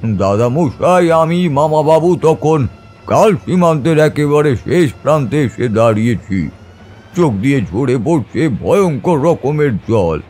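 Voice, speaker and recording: A man's voice: on the high side, big pitch swings, unhurried, a little mellow, slightly rough. A man giving a dramatic, suspenseful scripted narration of a horror story in a theatrical manner.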